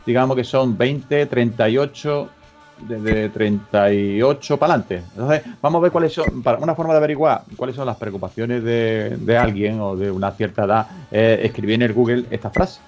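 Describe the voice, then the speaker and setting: A man's voice: mid-range voice, moderate pace, darkish tone, lo-fi sound, soft background music. A man from Spain hosting a comedic radio chat, joking and rambling as he reads out web searches. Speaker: radio show host.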